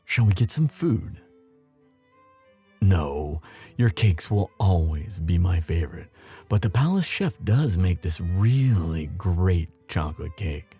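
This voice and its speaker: A man acts out a young prince, devoted and prone to worry, in a romantic audio roleplay. His delivery is intimate and unhurried, swinging widely in pitch with feeling. The voice has a breathy, husky edge. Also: animated, mellow, faint music behind, full character voice. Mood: tender, teasing. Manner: intimate, halting, emotional. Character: young prince, tender, devoted, anxious lover.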